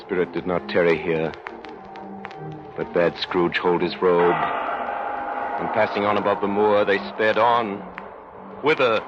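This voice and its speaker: Solemn storyteller over loud music. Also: male voice, deep voice, flat delivery. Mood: portentous. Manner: measured, portentous. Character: solemn, literary male storyteller. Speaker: narrator. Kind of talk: scripted dramatic radio narration. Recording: muffled old radio broadcast.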